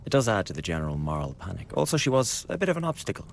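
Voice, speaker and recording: An actor playing a glib, scheming young revolutionary in a radio drama, chatty and insinuating with a blackly flippant manner. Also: male voice, on the low side, sing-song, very fast, vocal fry, slight background noise. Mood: coolly calculating. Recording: studio radio drama with background ambience.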